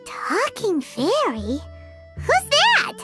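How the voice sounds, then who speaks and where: A tiny, bubbly fairy girl from a video game. Her voice is extremely high, squeaky and childlike, and it swoops up and down with cheer. She speaks unhurriedly over prominent music. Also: huge pitch swings, drawn-out words, exaggerated voice. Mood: curious. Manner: bubbly, refers to self in third person.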